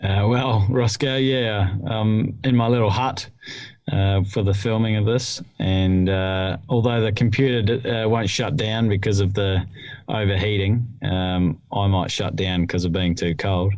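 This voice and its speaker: A man's voice: on the low side, steady tone, a little slow, a little mellow, dry recording. A man co-hosting a podcast, talking things through hesitantly with lots of fillers. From New Zealand. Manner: hesitant, many fillers, thinks aloud. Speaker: podcast co-host, rules explainer. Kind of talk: casual chat. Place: small hut at home, cold. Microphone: remote call recording.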